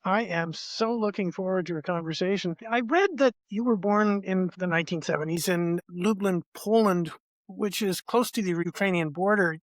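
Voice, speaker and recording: A man's voice: high voice, normal speed, no echo. A man hosting a podcast, asking friendly, curious questions. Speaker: podcast host. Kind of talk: interview conversation.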